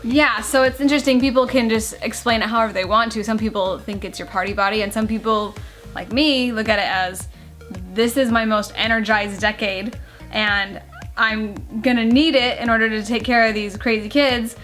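A woman's voice: fairly high pitch, fairly even intonation, moderate pace, a bit bright, faint music behind. A woman in her twenties, a vlogger chatting earnestly on camera from her living room couch, with lots of fillers. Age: 20s. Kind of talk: casual couple chat on camera. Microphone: camera mic at a few feet.

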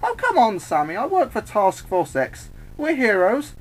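A man voicing a bubbly, excitable, mischievous clown woman in a story reading. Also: very high voice, brisk, gravelly, voice pushed up, exaggerated voice. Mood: pleading. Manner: cheerful, pleading, excitable.

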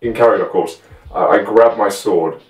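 Deep-voiced young man chatting in a roomy bedroom. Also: moderate pace, far from the mic. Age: 20s. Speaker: guest telling stories of live roleplay. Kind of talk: casual chat. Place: small bedroom indoors. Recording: roomy echo, off the mic, faint music.

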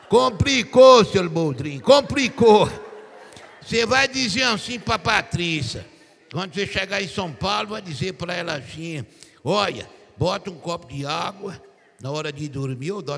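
A man voicing a cackling old country woman folk healer, chanting blessings and shouting exclamations on a live comedy stage. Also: high voice, creaky, husky, big-room sound, exaggerated voice.

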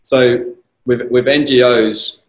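A man with a slightly croaky voice answering questions as a guest speaker on a video call, measured and conversational. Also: mid-range voice, steady tone. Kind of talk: conversational conference Q&A. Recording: roomy echo on a video call.

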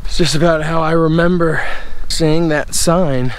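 A young man in his twenties vlogging casually on a mountain trail, chatty and excited, filming himself with a handheld camera. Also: fairly high pitch, a little slow, a little hiss or hum, poor audio. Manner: excited, joking, chatty while hiking. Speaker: hiker vlogger. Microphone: camera held at arm's length.

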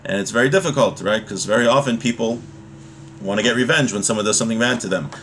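Male religious teacher, thirties, slightly low voice, informal and halting explanatory delivery, home recording with faint noise. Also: fairly bright tone. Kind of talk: informal Torah lesson, reading a text and explaining it. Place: small home room, indoors.

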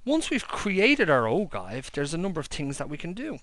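A man's voice: a little high, wildly swooping pitch, moderate pace, fairly bright tone, no room sound. A man teaching a maths lesson and explaining each step plainly while he draws on paper at a desk. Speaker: maths lecturer. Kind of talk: handwritten whiteboard-style maths lesson. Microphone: overhead camera above a desk.

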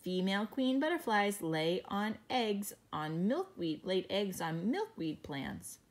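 A woman teacher reading a picture book aloud to young children, explaining each page in a gentle way. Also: mid-range voice, sing-song, slow.

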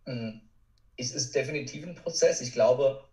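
A man's voice: mid-range voice, moderate pace, a little mellow. A man speaking as a coach and interview guest, earnest and motivational in a conversational way, repeating for emphasis. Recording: roomy echo, distant from mic.

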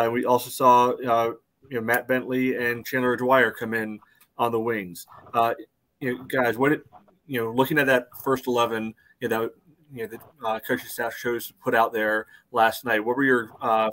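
A man's voice: medium pitch, level tone, normal speed, a bit bright. A middle-aged man hosting a casual sports discussion on a video call, in a rambling way. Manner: rambling, frequent "you know". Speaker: podcast host. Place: indoor room at home.

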